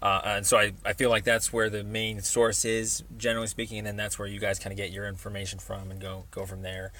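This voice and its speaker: Low-voiced, halting American man. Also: completely flat delivery, sharp tone. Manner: hesitant, rambling, halting delivery thick with ums. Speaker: member of the public calling a city department. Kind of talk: casual phone conversation.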